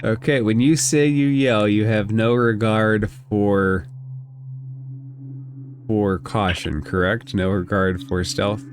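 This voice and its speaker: A man's voice: lowish voice, steady tone, takes it slowly, slight background noise. A man narrating a tabletop role-playing game as the game master.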